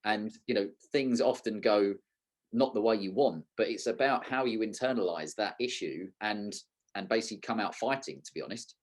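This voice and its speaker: A man with a slightly low voice hosts a casual podcast. He speaks informally and rambles conversationally from one thought to the next. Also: moderate pace. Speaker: podcast host.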